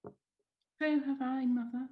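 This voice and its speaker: A woman's voice: sits high, fairly even intonation, slow, phone-quality sound. A woman playing a tearful, caring young daughter in a radio drama over an echoey video call. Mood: subdued. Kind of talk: vintage radio drama acting.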